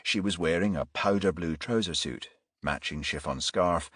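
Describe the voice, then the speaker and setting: A man's voice: low voice, normal speed, a bit bright, husky, dry recording, studio quality. A man reading a crime novel as an audiobook narrator in a scripted storytelling style.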